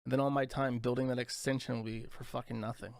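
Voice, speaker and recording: A man's voice: mid-range voice, level tone, fast, vocal fry, no echo. A young man hosting a casual livestream, rambling, crude and sarcastic as he talks to chat. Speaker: livestream host.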